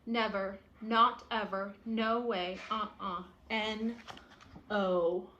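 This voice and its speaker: A woman's voice: medium pitch, steady tone, very slow, bright, forceful tone, highs cut off, slight background noise. A woman reading the part of a stubborn, indignant young boy from a picture book, with emphatic delivery. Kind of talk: picture-book read-aloud.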